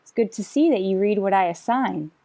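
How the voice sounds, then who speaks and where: An actress plays a sardonic woman schoolteacher in a radio sitcom. Her pitch swings with lively expression as she lands dry, sarcastic wisecracks in an old-time comic style. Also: brisk, lightly acted. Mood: brisk, wry. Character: wry, sardonic woman high school English teacher.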